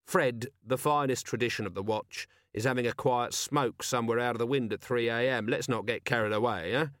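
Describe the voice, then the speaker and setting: A man's voice: medium pitch, fairly quick, a bit bright, no echo, studio quality, natural voice. A man voicing a weary, irritable police commander with an exasperated, sardonic manner in a storybook reading.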